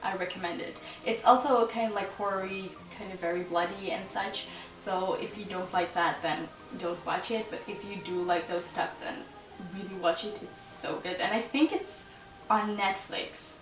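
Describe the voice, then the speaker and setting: A woman's voice: medium pitch, steady tone, moderate pace. A young Dutch woman of twenty-one rambles cheerfully to camera, giggling and filling gaps with likes and ums. She sounds set back from the mic in a roomy space, with music running underneath her voice. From Netherlands. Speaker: YouTuber answering a tag. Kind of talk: casual chat to camera. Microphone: camera mic at a distance. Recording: roomy, distant, with music behind. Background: music playing under the voice.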